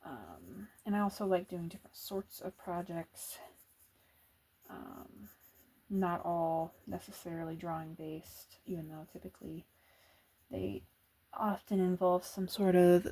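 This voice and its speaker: A soft-spoken woman doing casual ASMR chat as an artist at work, talking in an unhurried, musing way. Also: fairly low pitch, low-quality recording. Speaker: illustrator and comic artist narrating a drawing video.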